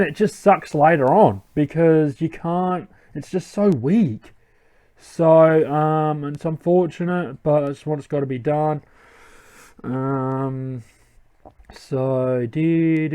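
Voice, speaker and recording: A man's voice: fairly high pitch, darkish tone. A young man hosting a let's play, giving casual commentary and thinking aloud with many ums.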